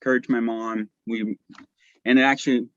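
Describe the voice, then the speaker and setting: A man's voice: mid-range voice, flat delivery, moderate pace, a bit bright, no room sound. A young man chatting casually and telling personal stories as a guest, heard over a muffled internet call. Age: young adult. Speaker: podcast guest. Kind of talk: casual chat, personal storytelling. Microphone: video call.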